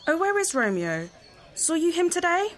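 A woman's voice: extremely high pitch, big pitch swings, moderate pace, a bit bright, heavily acted. A woman playing a worried noble mother in a student Shakespeare audio play.

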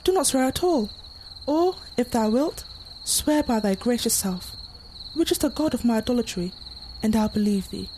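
A woman's voice: a little high, expressive, normal speed, mellow, slight background noise, lightly acted. A young woman acting a passionate, anxious girl in love, delivering Shakespearean verse earnestly in an audio play. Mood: anxious, tender. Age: young adult. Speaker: student actor.

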